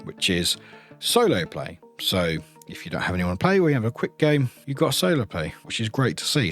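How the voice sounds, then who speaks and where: A man presenting an unboxing in a casual show-and-tell style, with informal, loosely phrased and appreciative commentary. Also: medium pitch. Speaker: product reviewer, unboxing host. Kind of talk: casual show-and-tell commentary, sometimes reading blurbs aloud.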